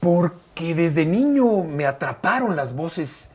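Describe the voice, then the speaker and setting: A Mexican man in his fifties with a Mexican accent, a sports commentator telling stories in an interview, expressive and emphatic with frequent fillers. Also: fairly high pitch, slow, slightly rough. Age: 50s. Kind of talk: conversational interview storytelling.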